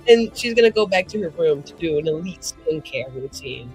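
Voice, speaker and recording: Animated woman playing a tabletop roleplaying game. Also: low-pitched, normal speed, faint music behind, low-quality recording. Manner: playful, exclamatory, swears freely. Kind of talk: casual game chat with in-character improvisation.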